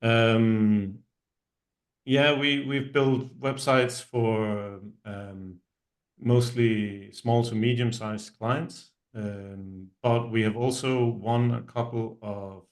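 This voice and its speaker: A man's voice: fairly low pitch, steady tone. A middle-aged Danish man, not speaking his first language, introduces himself and his web agency on a virtual meetup call, with many ums and restarts. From Denmark. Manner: halting, many ums and restarts, trailing off quietly. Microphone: video call.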